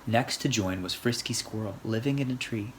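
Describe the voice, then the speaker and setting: An adult storyteller reading a rhyming picture book aloud for children in an expressive, sing-song way. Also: medium pitch, normal speed, rough recording. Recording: faint background noise.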